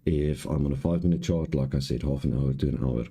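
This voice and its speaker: A man with an extremely deep voice teaches in a live tutorial. His tone is dark and relaxed, with a raspy, hoarse edge. He explains haltingly, going back over points as he goes. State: hoarse, clearing throat. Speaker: trading live-stream host and instructor.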